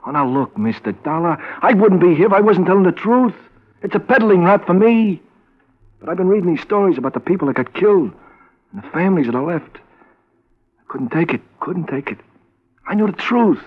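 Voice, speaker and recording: An actor playing a guilt-ridden small-time American crook in a radio crime drama, agitated and halting, repeating himself insistently. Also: male voice, high-pitched, lively intonation. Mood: anguished, guilty. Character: guilt-ridden, nervous male small-time drug peddler turned informant. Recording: muffled old radio broadcast recording.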